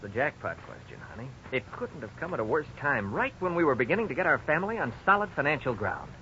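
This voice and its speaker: An actor playing a worried young husband, frank and resigned, in a 1950s radio drama. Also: male voice, fairly high pitch, sing-song, fast, pushed and bright. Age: young adult. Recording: dull, hissy 1950s radio recording.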